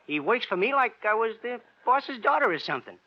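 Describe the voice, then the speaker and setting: High-voiced, wisecracking New York garage mechanic. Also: male voice, sing-song, fairly quick, exaggerated voice. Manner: streetwise, rambling, wisecracking. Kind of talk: 1950s radio crime drama acting. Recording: dull, noisy old radio recording.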